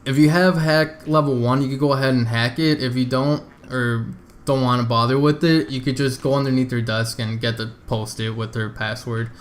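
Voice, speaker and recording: A man's voice: medium pitch, steady tone, normal speed. A man narrating a video game walkthrough in an informal, easygoing, explanatory way. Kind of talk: casual game walkthrough commentary.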